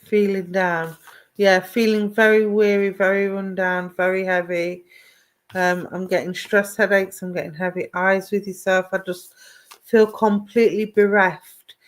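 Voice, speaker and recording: A woman's voice: mid-range voice, little pitch movement, unhurried. Woman, psychic medium on a live stream, affectionate and chatty, addresses each viewer by name. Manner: affectionate, calls viewers "my darling"; greets and addresses viewers by name, asks if things make sense. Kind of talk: live online psychic reading.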